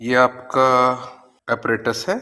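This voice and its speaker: A man's voice: mid-range voice, flat delivery, drawn-out words, bright, forceful tone. A man giving a step-by-step lab demonstration as a physics teacher, instructing and pointing things out as he goes. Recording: echoey room, noisy with hum.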